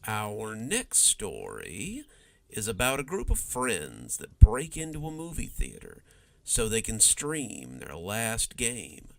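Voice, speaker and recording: A man's voice: on the low side, wildly swooping pitch, slow, vocal fry, a bit bright. A man narrating scripted first-person horror stories as a host, with a dramatic storytelling delivery.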